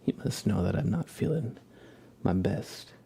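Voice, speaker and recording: A man hosting an ASMR livestream, chatting casually in a soft, hushed, gentle manner. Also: sits low, animated, measured pace, gravelly, a little hiss or hum. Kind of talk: whispered ASMR, casual rambling chat.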